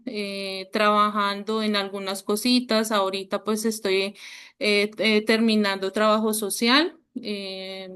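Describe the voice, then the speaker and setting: Woman on a video call, slow and flat, lots of pauses and restarts. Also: medium pitch, clear, resonant tone. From Colombia. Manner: hesitant, many fillers and restarts. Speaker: workshop participant. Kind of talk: online workshop discussion.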